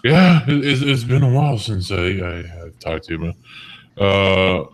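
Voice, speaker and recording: Deep-voiced man telling a crude story. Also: measured pace. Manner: rambling, crude, chuckles through his story. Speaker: stream guest. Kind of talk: casual storytelling on a voice chat.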